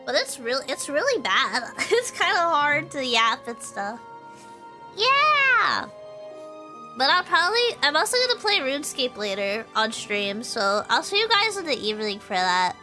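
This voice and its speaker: A woman's voice: sits high, sing-song, measured pace, bright, forceful tone. A young woman streaming a game, chatting casually and rambling with lots of fillers, her nose stuffy from allergies. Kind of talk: casual gameplay stream chat. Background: game music.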